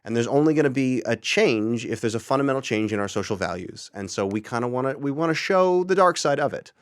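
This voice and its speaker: A man's voice: mid-range voice, animated, fast, dry recording, studio quality. A man speaking as an interview guest, earnestly explaining his opinions in casual discussion.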